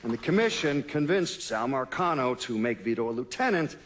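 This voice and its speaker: An actor playing a matter-of-fact FBI agent recalling old cases in a documentary-style game interview. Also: male voice, mid-range voice, expressive, slight background noise, natural voice. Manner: dry, explanatory, deliberate.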